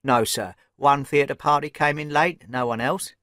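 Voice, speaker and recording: A man's voice: mid-range voice, a little slow, bright, forceful tone, no room sound, studio quality. A man voicing a deferential hotel day porter who answers politely and readily. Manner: polite, eager to help.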